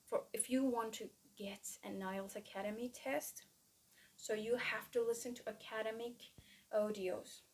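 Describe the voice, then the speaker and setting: Slow, hesitant woman. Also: medium pitch. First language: Persian. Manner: hesitant, many fillers and restarts. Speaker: exam-prep vlogger. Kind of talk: casual study-plan talk.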